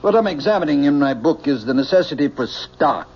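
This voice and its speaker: A man's voice: on the high side, lively intonation, fairly quick, husky. An actor playing a lofty, absent-minded older professor and father, hesitant and pedantic, in an old-time radio drama. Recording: muffled old radio recording, faint noise.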